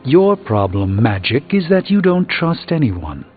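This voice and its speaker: Pompous sorcerer superhero, thin game audio. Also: male voice, mid-range voice, big pitch swings, measured pace, phone-quality sound. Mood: indignant. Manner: pompous, wordy, theatrical formal diction. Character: pompous, erudite adult male sorcerer superhero, proud and wry. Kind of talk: video game character dialogue. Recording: thin band-limited game audio with music behind.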